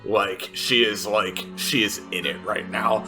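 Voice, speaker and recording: Male tabletop player, low and unhurried, halting casual description with clear music underneath.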